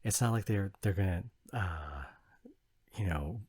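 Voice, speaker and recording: A man playing an exasperated, flustered, snobbish young anime fan boyfriend in an ASMR roleplay, arguing in halting bursts with repeated words. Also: low voice, a little mellow, breathy edge. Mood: indignant, passionate. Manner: halting, repeats words, sighing emphatic rant. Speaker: audio roleplay performer.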